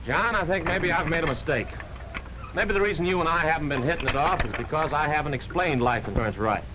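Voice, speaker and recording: A man's voice: on the high side, quick pace, close to an everyday voice. An actor in a 1940s film playing an earnest small-town male insurance salesman, folksy, patient and persuasive. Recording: noisy 1940s film soundtrack.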